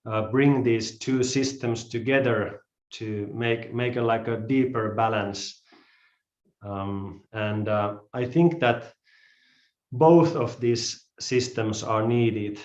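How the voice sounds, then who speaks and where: Man, Finnish accent, unhurried pace, hesitant instructor introduction, pauses, echoey room, slightly dull audio. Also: medium pitch. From Finland. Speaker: yoga and calisthenics instructor. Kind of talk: live stream class introduction. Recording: roomy, slightly dull live-stream audio.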